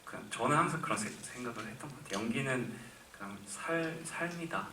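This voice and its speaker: A man's voice: medium pitch, lively intonation, fairly quick, noisy background. A man in his twenties, an actor answering a question at a film Q&A, speaking earnestly and thinking aloud with frequent restarts. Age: 20s. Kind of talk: live Q&A answer. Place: cinema auditorium. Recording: echoey cinema from far off.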